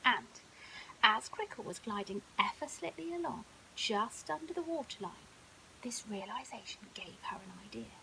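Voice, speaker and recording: A woman reads a scripted children's bedtime story in an expressive, dramatic way. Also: fairly high pitch, normal speed, creaky, rough recording. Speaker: storyteller, primary school teacher. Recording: audible hiss.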